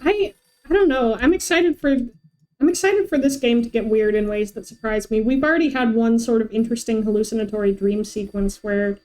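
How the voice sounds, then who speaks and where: A woman's voice: a little high, brisk, darkish tone, poor audio. A woman chatting casually as she streams a video game, speaking into a close mic. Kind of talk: casual livestream chat.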